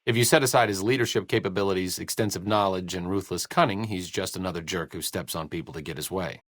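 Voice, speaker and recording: A man's voice: deep voice, fast talker, a bit bright, no room sound. A man playing a wry, bookish, self-deprecating doctor and researcher in a video game, with dry, erudite understatement. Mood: dryly critical. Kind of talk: video game character dialogue.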